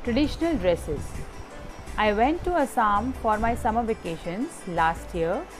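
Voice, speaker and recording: A woman's voice: fairly high pitch, lively intonation, measured pace, music in the background. A woman teaching young children in an online class. She reads from a textbook and explains it patiently, step by step.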